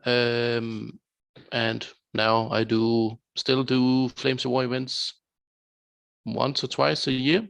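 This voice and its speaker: Slow, gravelly Danish man. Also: medium pitch, little pitch movement, fairly bright tone, rough recording. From Denmark. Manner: hesitant, filler-heavy. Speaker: guest. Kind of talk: casual chat.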